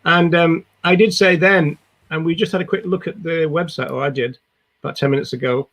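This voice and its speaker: An older man with a croaky voice from a cold, co-hosting a casual video-call discussion in a rambling, hesitant way. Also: fairly high pitch, fairly even intonation, a little fast, a bit bright. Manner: rambling, hesitant, lots of restarts. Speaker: co-host. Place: home room.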